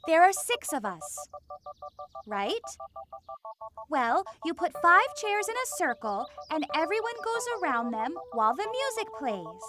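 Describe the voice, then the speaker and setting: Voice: big pitch swings, a little slow, over music. A performer voicing an eager, friendly child character in a kids' cartoon, explaining things simply in a childlike voice. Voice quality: high, bright, childlike. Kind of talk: animated kids' cartoon dialogue.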